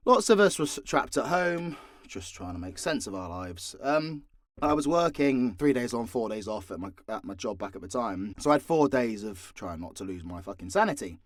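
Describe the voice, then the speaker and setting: A man's voice: on the low side, sing-song, moderate pace, no room sound. Candid British man, close dry sound. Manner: candid, sweary, self-deprecating. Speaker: voice actor and audio channel creator. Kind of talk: casual, unscripted Q&A chat.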